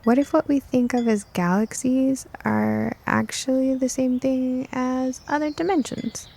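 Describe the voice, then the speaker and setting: A woman's voice: high-pitched, fairly even intonation, creaky, darkish tone, voice pushed up, exaggerated voice. Hesitant, curious young girl, played by a woman. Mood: thoughtful. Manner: hesitant, halting. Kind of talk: audio fiction character dialogue.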